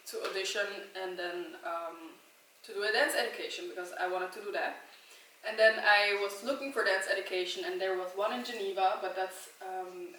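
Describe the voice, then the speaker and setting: A woman's voice: mid-range voice, pushed and bright, slight background noise. Giggly young woman in an echoey room. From Switzerland. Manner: chatty, giggly, rambling with fillers. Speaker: vlogger answering questions. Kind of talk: casual chat. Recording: echoey room, mic at a distance.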